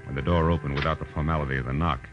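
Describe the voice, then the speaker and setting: Low-voiced hard-boiled American private eye. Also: male voice, fairly even intonation, very fast, highs cut off. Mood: wry narrating. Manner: clipped, assertive, sardonic. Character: tough, wry hard-boiled private detective. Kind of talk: 1940s radio detective drama acting and narration. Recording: old radio recording with music.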